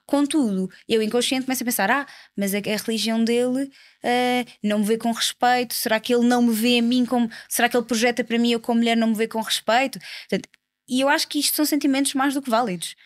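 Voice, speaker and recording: A young woman in her twenties speaks with a European Portuguese accent in a fairly high voice. She talks earnestly and at length as a podcast guest, with frequent fillers. The sound is close and dry, with no room echo. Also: fairly even intonation, fast talker. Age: 20s. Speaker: politician guest. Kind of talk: casual podcast conversation.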